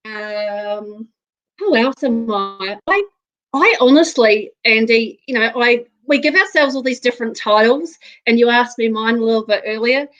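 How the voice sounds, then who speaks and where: A woman's voice: on the high side, measured pace, fairly bright tone, highs cut off. A woman in her thirties from New Zealand with a New Zealand accent, guest on a live interview show, talking earnestly and laughing between phrases.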